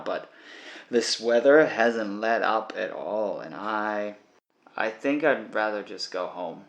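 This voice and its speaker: Haunted brooding man, audio drama monologue, slow halting delivery, creaky gravelly voice, confessional. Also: lowish voice, fairly bright tone, full character voice. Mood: awkward, reassuring. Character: haunted, troubled man confessing dark murderous thoughts.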